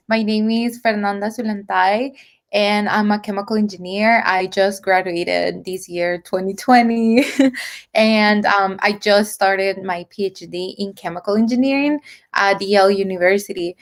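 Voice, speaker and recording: A woman's voice: medium pitch, fairly even intonation, measured pace. Chatty young woman from El Salvador. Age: 20s. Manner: chatty, laughs easily, many fillers. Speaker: guest, PhD student. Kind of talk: casual interview answers.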